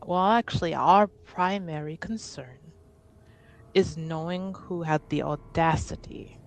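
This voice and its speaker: A woman playing a guarded, formal envoy of a noble house in a tabletop game, speaking deliberately. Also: deep voice. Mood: controlled indignation.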